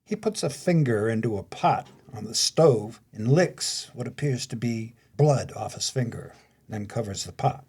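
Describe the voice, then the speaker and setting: A man reading scripted announcements and stage directions as a narrator, in a formal, measured way. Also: medium pitch, lively intonation, a little mellow, husky.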